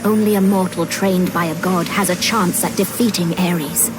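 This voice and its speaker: An actress playing a commanding Greek goddess, speaking in a stately, formal, deliberate way in a video game. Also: female voice, fairly low pitch, steady tone, over music, natural voice. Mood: urgent, commanding. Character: regal, commanding Greek goddess of war and wisdom. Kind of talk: dramatic video game acting.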